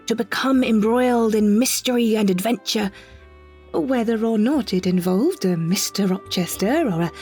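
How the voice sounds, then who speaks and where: A woman performing an audio drama monologue as an older woman wryly recalling her childhood, in a measured, precise, slightly husky voice with deliberate pauses. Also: medium pitch, warm tone. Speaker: monologue narrator. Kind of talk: scripted first-person audio drama narration.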